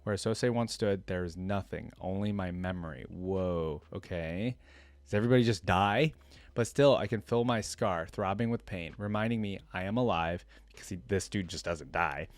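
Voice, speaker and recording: Male reaction host, lowish voice, informal and colloquial delivery between storytelling and off-the-cuff commentary, very little room sound. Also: moderate pace, dry recording. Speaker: reaction video host reading a story aloud. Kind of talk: reading a fantasy story aloud with casual off-the-cuff commentary.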